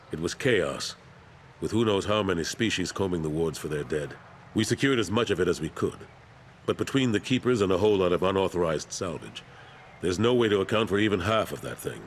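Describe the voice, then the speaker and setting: Low-voiced, weary older statesman. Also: animated, slight background noise. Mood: guarded, grave. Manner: measured, earnest, resigned. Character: weary, dutiful older military statesman, measured and sincere. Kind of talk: video game cinematic acting.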